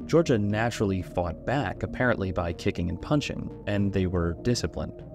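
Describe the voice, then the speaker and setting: Low-pitched man narrating a horror video essay. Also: normal speed, music in the background.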